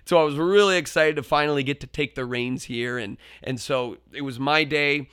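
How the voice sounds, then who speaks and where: Earnest teacher guy on his podcast, mid pitch, dry room, keeps restarting phrases. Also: normal speed, clear, resonant tone, no room sound. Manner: builds up to loud, forceful quoted shouts in stories. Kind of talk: reflective podcast monologue with storytelling.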